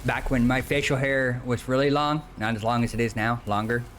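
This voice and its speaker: A man's voice: mid-range voice, steady tone, a little fast, a bit bright, slight background noise. A man in his early forties chatting casually and informally as he streams a game. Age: early 40s. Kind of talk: casual chat while gaming.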